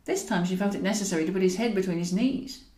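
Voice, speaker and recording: A woman reading a comic romantic novel aloud in an expressive storytelling style, as the author of the book. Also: medium pitch, fast, echoey room. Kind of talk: expressive audiobook narration of comic romantic fiction.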